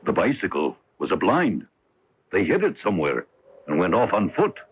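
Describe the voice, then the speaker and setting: A dull, noisy AM radio recording of a self-assured police inspector. His extremely deep voice is blunt and earnest, in old radio mystery style. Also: huge pitch swings, normal speed. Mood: confident. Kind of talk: old-time radio mystery drama acting.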